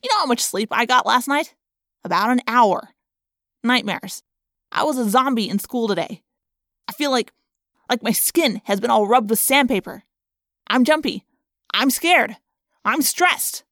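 A woman's voice: a little high, expressive, unhurried, long pauses, fairly bright tone, no echo, studio quality. Woman doing a blunt, cynical teenage boy. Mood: stressed. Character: jumpy, stressed, wisecracking teenage boy.

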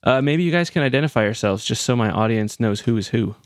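A man's voice: mid-range voice, lively intonation, quick pace, no echo, studio quality. A man hosting an interview podcast, moving between scripted introduction and questions. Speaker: podcast host.

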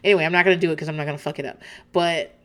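A woman's voice: low voice, fairly even intonation, very fast, a bit bright. A woman host chatting casually as she recaps a reality show, swearing freely and laughing often.

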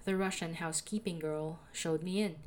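A young woman with a soothing voice, calmly narrating a personal audio diary as a podcaster. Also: lowish voice, moderate pace, slight background noise. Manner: calm, reflective, confessional.

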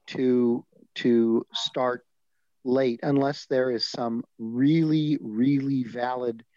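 A man's voice: mid-range voice, a little mellow, no room sound, muffled recording. A man speaking as a guest on a talk show, earnest and plainspoken. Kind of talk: casual interview chat.

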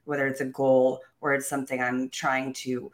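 A woman's voice: a bass voice, monotone, normal speed. A young woman telling her own life story in an interview, upbeat and self-assured, with small laughs and restarts. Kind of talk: candid personal storytelling.

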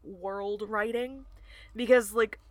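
A woman with a soft Southern US accent, co-hosting a casual book podcast, blunt and swearing freely. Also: mid-range voice, fairly even intonation, slow pace, no room sound. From southern United States. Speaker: podcast co-host. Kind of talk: casual book-discussion chat.

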